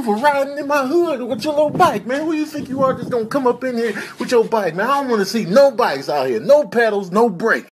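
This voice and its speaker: Man voicing a high, indignant tough ram. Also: slight background noise, natural voice. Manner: loud trash-talking, slangy. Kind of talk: comic animal voice-over.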